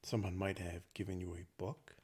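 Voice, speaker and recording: A man leading a guided meditation, speaking gently in short phrases with long pauses between them. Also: low-pitched, little pitch movement, breathy edge. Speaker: meditation teacher.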